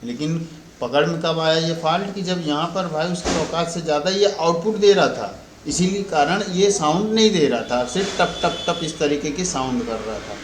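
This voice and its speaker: A man's voice: on the high side, normal speed. An Indian man giving an informal repair tutorial as a technician, explaining step by step and often repeating and rephrasing his points. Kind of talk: informal spoken repair walkthrough. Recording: roomy echo, faint background noise.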